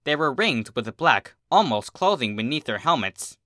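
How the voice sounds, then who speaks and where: A man giving an expressive scripted narration of a story, as the host of a readings channel. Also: mid-range voice, normal speed, no room sound, clean studio sound.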